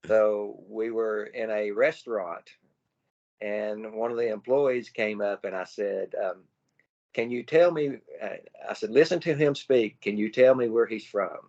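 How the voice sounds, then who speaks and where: A man's voice: lowish voice, measured pace. American man on a muffled call. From United States. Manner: relaxed storyteller. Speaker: guest. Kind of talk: casual conversation on a video call. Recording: slightly muffled video call audio.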